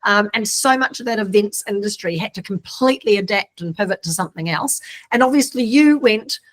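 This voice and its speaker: A woman's voice: mid-range voice, fairly quick. Encouraging Australian woman hosting. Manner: encouraging, affirming, sums up the guest's points. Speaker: podcast host. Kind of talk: interviewing in casual conversation.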